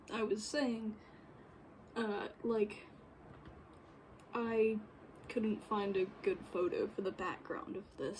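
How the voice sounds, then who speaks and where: A woman giving casual, unscripted commentary while working on screen, rambling and halting as she goes. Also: medium pitch, slight background noise, poor audio. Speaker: game developer recording a work-in-progress video. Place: bedroom, indoors.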